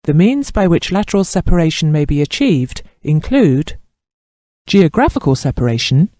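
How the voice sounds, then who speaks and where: A man reading scripted instructional narration as a training narrator, in a formal, measured and precise manner. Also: a little high, sing-song, warm tone, no room sound, clean studio sound.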